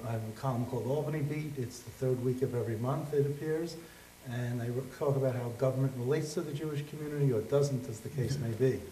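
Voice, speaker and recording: A man's voice: mid-range voice, fast, mellow, roomy sound, a little hiss or hum, poor audio. An older man co-hosting a studio interview, asking blunt, conversational questions. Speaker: co-host, columnist.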